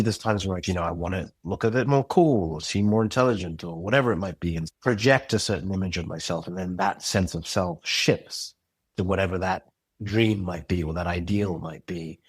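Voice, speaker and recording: Reflective male podcast guest, low husky voice, dry close sound. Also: dry recording. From Zimbabwe. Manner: thoughtful, searching, with frequent restarts and "you know what I mean". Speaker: podcast guest, audio drama creator. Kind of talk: reflective interview conversation.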